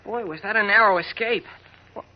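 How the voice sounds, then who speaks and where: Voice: mid-range voice, fairly quick, slight background noise, lightly acted. A performer playing a plucky, curious teenage boy in 1940s radio style, boyish and exclaiming, on a dull old recording. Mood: amazed, curious.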